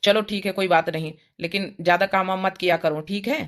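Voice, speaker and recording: A woman voicing a flattering, pushy young male schoolteacher in a cartoon comedy, coaxing his lover. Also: medium pitch, a little fast, lightly acted. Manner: coaxing, smooth-talking.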